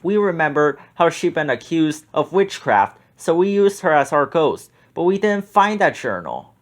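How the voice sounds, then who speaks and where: A middle-aged mayor caught in a scam, read by a man, confessing in a subdued storybook voice. The pitch still moves lively across the lines. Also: moderate pace. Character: ashamed middle-aged male town mayor.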